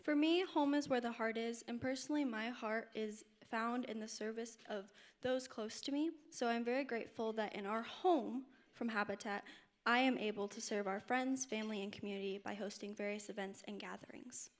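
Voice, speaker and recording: High-voiced earnest teenage young woman. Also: fairly even intonation, normal speed. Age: late teens. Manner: earnest, formal, articulate. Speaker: panel guest, student speaker. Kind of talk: prepared panel answers. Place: indoor luncheon event before an audience.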